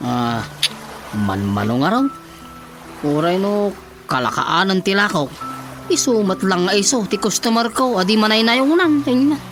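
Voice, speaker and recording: A man playing a sly, giggly debtor in a radio comedy, with drawn-out phrase endings. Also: high voice, animated, over music. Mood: grumbling. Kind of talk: radio comedy drama acting.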